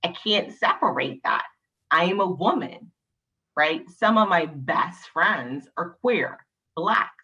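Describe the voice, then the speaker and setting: A woman's voice: fairly low pitch, animated, slow, pushed and bright, breathy edge, muffled recording. A woman from Barbados speaking as a panelist in a video-call discussion, emphatic and passionate, often ending points with a tag question. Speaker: panelist, nonprofit leader.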